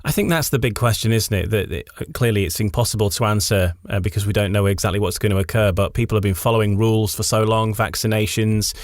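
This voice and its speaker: A man's voice: lowish voice, fairly even intonation, quick pace, hardly any pauses, dry recording, clean studio sound. A man hosting a radio interview, introducing and questioning his guest in long, winding sentences.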